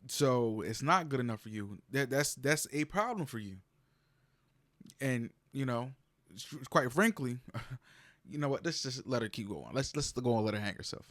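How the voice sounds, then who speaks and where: A man's voice: mid-range voice, lively intonation, moderate pace, fairly bright tone. A man hosting a reaction video, explaining his views in a casual, lecturing way and often repeating himself. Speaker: commentary host reacting to a clip.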